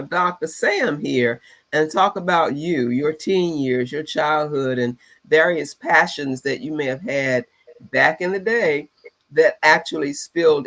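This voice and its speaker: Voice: mid-range voice, rough recording. A podcast host who is getting over a cold, introducing and interviewing a guest.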